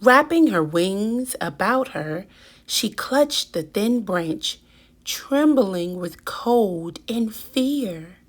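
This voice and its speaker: A woman reading a children's picture book aloud with deliberate, dramatic emphasis. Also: medium pitch, expressive. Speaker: children's story reader.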